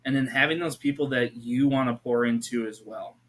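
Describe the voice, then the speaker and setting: Earnest man in his thirties, flat delivery. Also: medium pitch, fairly quick. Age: 30s. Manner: earnest, rambling with many restarts and fillers. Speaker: podcast guest, youth pastor. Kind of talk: casual faith conversation.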